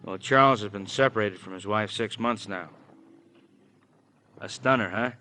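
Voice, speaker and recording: An American actor playing a wry, roundabout police detective who feeds out hints bit by bit in a 1950s radio crime drama. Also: male voice, lowish voice, moderate pace, pushed and bright. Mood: wry, insinuating. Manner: drawling out hints, tagging questions with huh. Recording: hissy old radio recording.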